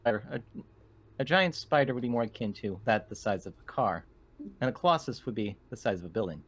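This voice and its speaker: A man's voice: lowish voice, expressive, a little fast, husky. Man playing a prim, swooping-voiced android. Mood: pedantic. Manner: formal, pedantic. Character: prim, literal-minded, helpful android.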